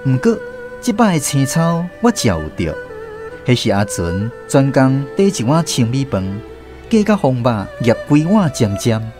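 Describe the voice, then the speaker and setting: An actor with a Taiwanese accent narrating a family tale as the storyteller in a radio drama. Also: male voice, medium pitch, very animated, unhurried, over music.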